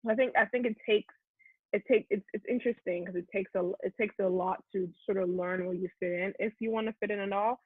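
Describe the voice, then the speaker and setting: A woman's voice: mid-range voice, fairly even intonation, a little fast, breathy edge, dry recording. A Nigerian woman guest, a content creator, chatting openly in an interview and often restarting her sentences. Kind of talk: casual interview chat. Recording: tinny phone-like line.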